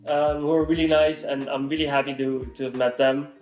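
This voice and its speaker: A man's voice: medium pitch, flat delivery, fairly quick, darkish tone, echoey room, faint music behind. A young man with a Dutch accent, chatting casually and thoughtfully as a vlogger. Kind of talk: casual chat.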